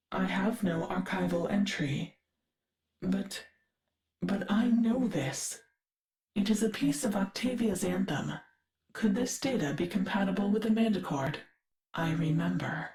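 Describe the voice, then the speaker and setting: A curious female machine intelligence from a video game, with a mid-pitched voice that echoes. She speaks like a detached archivist, formal and exact, measuring out each phrase. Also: a little mellow. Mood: confused, searching. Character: curious, forgetful female artificial mind, data-obsessed archivist. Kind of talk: scripted video game character lines.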